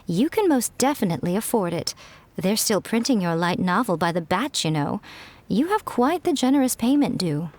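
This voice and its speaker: A smug, teasing fox spirit. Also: female voice, medium pitch, big pitch swings, normal speed, a little mellow. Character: sly, teasing fox-spirit woman, regal and playful. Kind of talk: video game voice acting.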